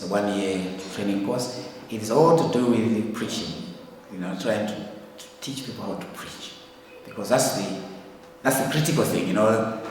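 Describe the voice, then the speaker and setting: A man's voice: lowish voice, slight background noise. An older man from Zambia giving a church report in a hesitant, informal way, with many fillers and restarts. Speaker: ministry leader giving a report. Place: church hall. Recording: echoey hall, mic at a distance.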